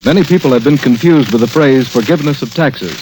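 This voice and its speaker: A man's voice: mid-range voice, quick pace, a little mellow, low-quality recording. A man reading a scripted public-service announcement in 1940s radio announcer style. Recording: vintage radio with faint noise.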